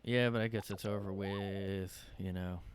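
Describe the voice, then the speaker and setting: A man's voice: sits low, steady tone, unhurried, clear, resonant tone, no room sound. Rambling man talking alone, fillers. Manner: rambling, hesitant, many fillers. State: worn out after a cold. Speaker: podcast host. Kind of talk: casual solo monologue. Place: his own room.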